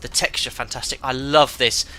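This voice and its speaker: A man streaming live as a flight simulator host, chatting and reading out chat messages, congested from a cold with a croaky voice and many filler ums and uhs. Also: mid-range voice, steady tone, moderate pace, pushed and bright. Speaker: streamer and airline pilot.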